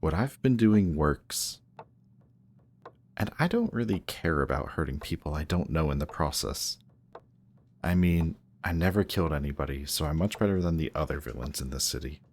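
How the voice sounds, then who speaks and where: A man playing a grumpy, guarded villain who is secretly softhearted, speaking haltingly and defensively in an intimate one-sided roleplay audio. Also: very deep voice, expressive. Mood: defensive, stubborn. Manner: halting, defensive, reluctantly tender. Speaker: voice actor.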